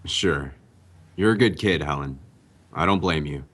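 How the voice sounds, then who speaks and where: A man playing a smooth, easygoing and slightly sly suspected impostor in an old time radio drama. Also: a bass voice, unhurried, fairly bright tone, exaggerated voice. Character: smooth, casual man posing as a returned brother.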